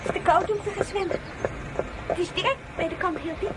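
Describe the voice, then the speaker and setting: A shy, smitten young village girl in a radio drama. Her voice is high and she speaks hesitantly, bashful yet eager, over clear scene noise. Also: fast. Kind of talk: Dutch radio drama acting.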